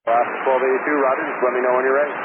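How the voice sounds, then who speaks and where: Male air traffic controller, extremely fast, clipped procedural delivery, muffled radio, heavy noise. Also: medium pitch, little pitch movement. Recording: noisy, dull aviation radio transmission.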